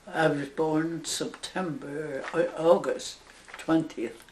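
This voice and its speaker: A woman over a hundred years old with a Northern Irish accent, a nun slowly recalling her life in an oral history interview, halting and with soft laughs. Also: deep voice, fairly even intonation, echoey room, a little hiss or hum. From Northern Ireland. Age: over 100.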